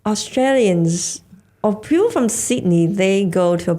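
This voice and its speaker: A woman's voice: medium pitch, expressive, takes it slowly, mellow. A woman, a Cantonese speaker, chatting as a podcast guest with lots of fillers and restarts. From Hong Kong. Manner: hesitant, trailing restarts and many fillers. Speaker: guest, stand-up comedian. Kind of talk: casual conversation.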